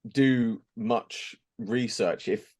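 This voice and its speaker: A man's voice: mid-range voice, drawn-out words, a little mellow. A man answering as a voice actor in a casual interview, candid and a little rambling. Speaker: voice actor and podcast guest.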